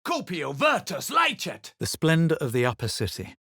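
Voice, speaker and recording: A man playing a self-assured, haughty adventurer in a fantasy video game, speaking in a measured, theatrical, superior way. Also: fairly high pitch, wildly swooping pitch, bright, forceful tone, breathy edge, no echo, clean studio sound, exaggerated voice. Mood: self-assured, dry. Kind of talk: fantasy game dialogue and narration.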